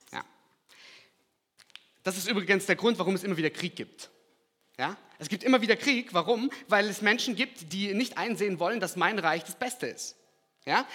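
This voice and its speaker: Animated preacher in a reverberant hall. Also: male voice, sits high. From Kazakhstan. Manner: animated, swings from loud emphasis to quiet asides. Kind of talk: sermon.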